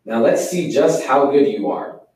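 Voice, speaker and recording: A man's voice: mid-range voice, expressive, unhurried, darkish tone, a little hiss or hum, close to an everyday voice. A man reading the lines of a pushy male circus owner in a blunt, bossy way. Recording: very echoey room, mic far off.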